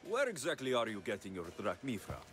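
An actor playing a wry, confident young Greek mercenary hero in a video game, with a dry, teasing delivery. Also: male voice, medium pitch, huge pitch swings, brisk, a bit bright, faint music behind. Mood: wry, sceptical. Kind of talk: video game cutscene dialogue.

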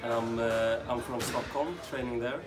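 A man's voice: medium pitch, steady tone, normal speed, over loud music. A man in his twenties from Sweden, a squash player, giving a short hesitant interview in a club hallway. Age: 20s. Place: indoor sports club hallway.

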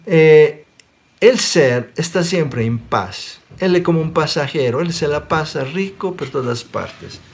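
A man in his forties from Sicily who speaks with an Italian accent, giving an animated spiritual talk in an online question-and-answer session and often checking in with listeners. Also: on the high side, slow. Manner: animated, gestures a lot, checks in with listeners by asking "ok?". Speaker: spiritual teacher. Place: small room indoors. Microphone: webcam close up.

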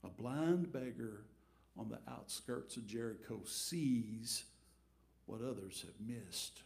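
A middle-aged man preaching a storytelling sermon from a church pulpit, swinging between big acted-out crowd voices and quiet asides. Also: mid-range voice, slow pace, vocal fry. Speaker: pastor. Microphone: headset mic.

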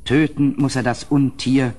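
Man as a husky, grave gothic horror storyteller. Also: mid-range voice, level tone, a little slow, a little mellow, lightly acted. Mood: dramatic reading. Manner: grave, literary, dramatic delivery. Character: anxious, well-mannered male houseguest narrating his night of horror. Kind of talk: gothic horror radio play narration and acting.

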